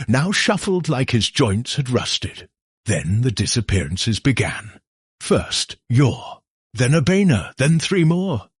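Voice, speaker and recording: A man narrating a scary folktale as a storyteller, in a suspenseful, theatrical way with dramatic pauses. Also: mid-range voice, slightly rough, no echo, clean studio sound.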